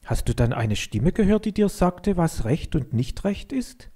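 A man's voice: on the high side, very animated, a little slow, dark tone, husky, voice pushed up, exaggerated voice. A man voicing an earnest, curious schoolboy in a children's story reading.

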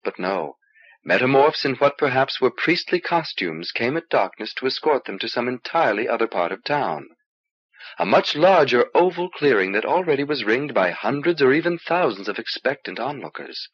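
A man with a husky voice narrating a fantasy novel in an expressive, measured storytelling delivery, on an old cassette transfer. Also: medium pitch, dull audio. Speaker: audiobook reader.

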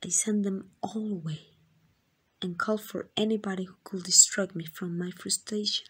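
A woman with a Mexican accent playing a tender, devoted queen in a romantic ASMR roleplay, speaking in gentle, intimate, breathy whispers. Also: mid-range voice, fairly even intonation, moderate pace. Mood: tender, wistful. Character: tender, devoted queen in secret love with a commoner.

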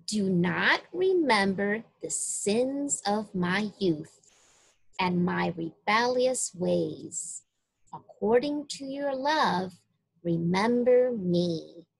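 Very slow, expressive woman reading to children. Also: medium pitch, creaky, slightly rough, low-quality recording. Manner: deliberate, emphatic phrasing addressed to children. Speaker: children's story reader. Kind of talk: read-aloud Bible storytelling for young children.

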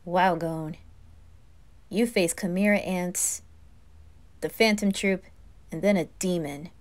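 A woman's voice: on the low side, fairly even intonation, takes it slowly, a little mellow, pitched below the performer's own voice. A woman voicing nervous, skeptical young anime lads, good-hearted and casual, with a hesitant, exasperated manner. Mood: uneasy. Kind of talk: anime fan roleplay ASMR.

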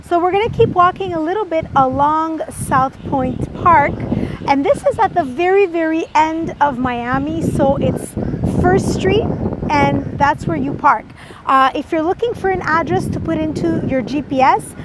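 Travel vlogger, woman in her 30s, high voice, upbeat and chatty, phone at arm's length, noisy street sound. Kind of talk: casual travel vlog to camera. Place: outdoors on city streets and a seaside park walkway. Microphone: phone held at arm's length.

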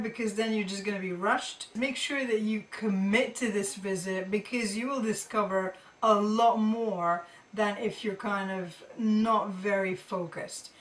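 A woman's voice: medium pitch, a bit bright. A middle-aged woman teaching informally to camera, thinking aloud and often rephrasing as she explains. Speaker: art teacher presenting tips to students. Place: indoor room with carved wooden panelling. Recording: roomy echo, distant microphone.

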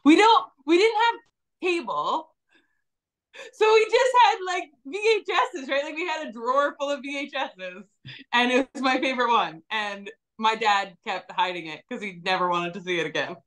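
A woman's voice: a little high, lively intonation, normal speed, a bit bright. A young woman from British Columbia, a dairy farmer guest talking easily and laughing often on a video call from home. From British Columbia, Canada. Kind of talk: casual video-call interview. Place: home room.

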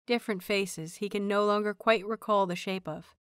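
Female narrator, mid-range voice, expressive storytelling, measured delivery, dry recording. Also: mid-range voice, clean tone, no room sound. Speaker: audiobook narrator of fan fiction.

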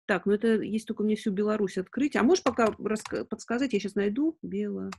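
A woman in her forties talks informally as the host of an online session. Her voice sits at a medium pitch. She feels her way through answers, often pausing to think aloud, recorded indoors on a video call. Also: gravelly, darkish tone, slight background noise. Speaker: webinar host. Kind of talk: informal webinar talk, answering questions. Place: indoors, room at home. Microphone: video call on a laptop.

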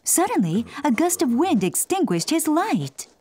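High, lively woman storyteller. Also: a little slow, noisy background. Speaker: narrator. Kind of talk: scripted children's storybook narration. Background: music.

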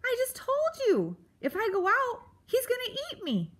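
A woman putting on a tiny, terrified girl mouse with a very high, thin voice that stammers and pleads. Her words come slow and shaky, as if she can barely get them out. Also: wildly swooping pitch, a bit bright, exaggerated voice. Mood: frightened. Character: frightened small female mouse. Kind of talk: storybook read-aloud.

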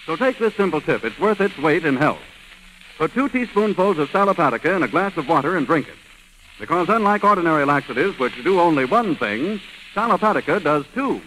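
A male 1930s radio announcer delivering polished, emphatic ad copy. His voice is high and animated, on an old recording with faint noise. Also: poor audio. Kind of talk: scripted 1930s radio advertising.

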